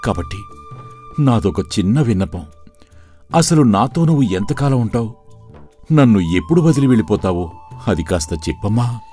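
A man's voice: mid-range voice, sing-song, normal speed, long pauses, mellow, lightly acted. A man voicing a humble, contented and devout poor temple priest in a cartoon story, pleading and reasoning respectfully. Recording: music under the voice.

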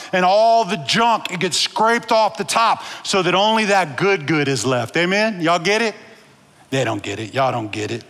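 A man's voice: high-pitched, a bit bright. A man in his thirties preaching a sermon on a church stage through a headset mic, moving and gesturing as he calls out to the congregation. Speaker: pastor. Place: large church stage with blue lights. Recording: long hall reverb of a church auditorium.

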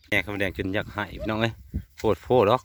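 A man with an Isan Thai accent talks casually to his viewers in a folksy way. His voice sits a bit low. He is recorded outdoors in the countryside, with some background noise. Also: steady tone, fast, fairly bright tone. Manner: often addresses viewers in a folksy way. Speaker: vlogger filming a family outing. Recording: noisy outdoor rural recording.

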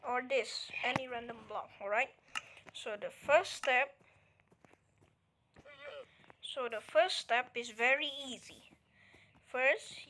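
A woman's voice: high-pitched, a bit bright, slight background noise. A woman giving a casual step-by-step game tutorial, counting items aloud and checking in with "alright?" as she goes. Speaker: tutorial creator.

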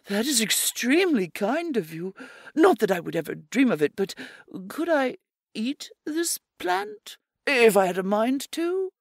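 A woman's voice: on the high side, big pitch swings, measured pace, gravelly, no echo, studio quality, voice pushed up, full character voice. Woman voicing a meek, sly storybook rabbit. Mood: ingratiating. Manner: polite, ingratiating, soft-spoken.

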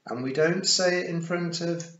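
A man's voice: fairly high pitch, moderate pace, a bit bright, voice pushed up, natural voice. A man voicing a severe young girl in an audiobook, firm and clipped like a bossy, parental big sister. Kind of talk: audiobook character voice. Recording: muffled, roomy recording.

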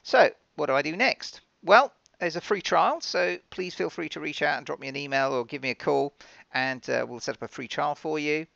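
Male software presenter, very creaky yet bright voice, informal and explanatory, dull lo-fi recording. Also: a little high, moderate pace, no echo. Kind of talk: conversational software demo narration.

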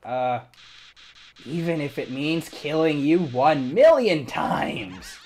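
A woman plays a spiteful talking flower who gloats over his victims. The voice drops low and menacing, then leaps up into wild cackles. This is a fan-made cartoon dub. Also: sing-song, takes it slowly, darkish tone, a little hiss or hum, heavily acted. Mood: threatening. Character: sneering evil talking flower, small male villain.

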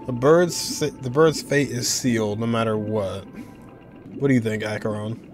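A man's voice: mid-range voice, a little slow. Young man musing over a game. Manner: relaxed, musing, laughs often. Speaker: gaming reaction streamer. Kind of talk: casual reaction commentary. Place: indoor room at desk. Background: game music.

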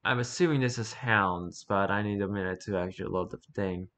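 A man's voice: lowish voice, a little fast, a bit bright. A man reading aloud casually with wry offhand asides, sometimes stumbling over the words as he goes. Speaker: streamer reading and reacting to wiki pages.

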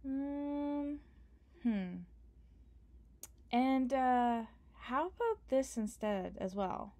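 Slow, playful young woman. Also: high-pitched, lively intonation, darkish tone. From Canada. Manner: playful, affectionate, teasing. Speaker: ASMR performer. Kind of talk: ASMR roleplay as a partner.

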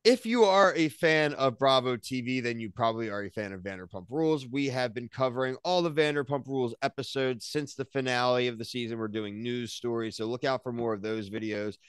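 A man's voice: medium pitch, a little fast, hardly any pauses, clear, resonant tone, dry recording. An American man in his thirties chatting casually as a podcast co-host, with slangy, opinionated recaps. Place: small home room, on a video call.

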